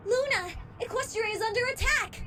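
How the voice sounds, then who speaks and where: Woman as a very high-pitched frightened pony. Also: brisk, over music. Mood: alarmed. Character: frightened female pony raising an alarm, breathless and urgent. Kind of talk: fan audio drama acting.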